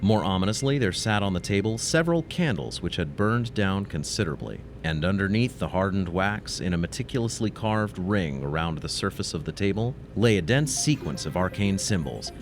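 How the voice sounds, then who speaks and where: A man's voice: low voice, very animated, moderate pace, hardly any pauses, music in the background. A man narrating a scripted audio drama as its storyteller.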